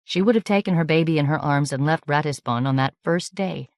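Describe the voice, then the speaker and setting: A woman narrating an audiobook in a measured, even reading voice. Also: low voice, no echo, studio quality. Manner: measured, even reading with light character shading. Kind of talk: scripted narration.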